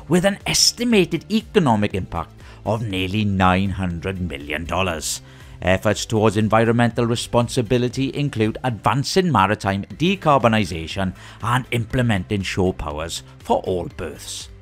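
A man presenting a scripted news roundup in a lively, sing-song delivery with rising greetings. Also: mid-range voice.

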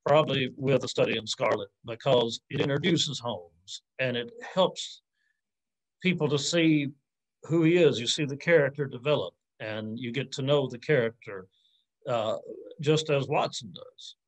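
Male academic, forties, mid-range pitch, informal Q&A delivery, reflective and a little hesitant, on a video call. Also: normal speed, poor audio. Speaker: academic answering audience questions. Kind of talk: informal lecture Q&A, thinking aloud. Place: indoors on a video call with virtual background.

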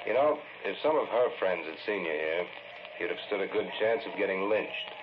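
A stern, impatient lawman in an old radio play. He has a low voice and scolds bluntly, full of skepticism. The sound is muffled, with clear noise from a vintage recording. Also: lively intonation, normal speed. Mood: scolding. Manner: blunt, reproachful. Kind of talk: 1940s radio drama acting.

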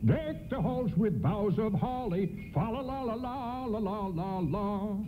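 An actor playing a jolly, playful department store Santa Claus in a hearty, theatrical radio play style. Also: male voice, high voice, huge pitch swings, a little slow, soft background music, heavily acted. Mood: jolly, booming. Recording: tinny, noisy AM radio broadcast.

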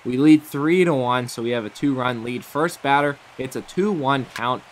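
A man's voice: mid-range voice, normal speed. A young man from the Pittsburgh area, a bit nasal while getting over a cold, chatting upbeat play-by-play over his baseball video game. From Pittsburgh area, USA. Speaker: gaming YouTuber. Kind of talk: gameplay commentary.

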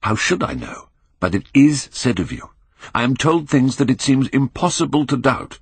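A man voicing an earnest, anguished Victorian painter who pleads and moralises gravely in an audiobook. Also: mid-range voice, lively intonation, normal speed, no room sound, voice pushed up, heavily acted. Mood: urgent, distressed.

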